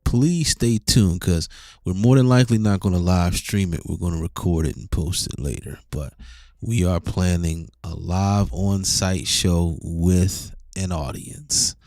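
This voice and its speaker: A man's voice: low-pitched, unhurried, warm tone, dry recording, clean studio sound. A man hosting a podcast, announcing plans in a laid-back, easy way and signing off the episode. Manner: laid-back, affirming backchannels. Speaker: podcast host. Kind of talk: casual podcast sign-off and chat.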